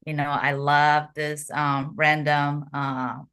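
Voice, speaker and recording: Slow low Japanese-accented woman. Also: level tone, a bit bright, clear, resonant tone. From Fukuoka, Japan. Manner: slow, careful, many "uh" pauses. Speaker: guest. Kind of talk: podcast interview.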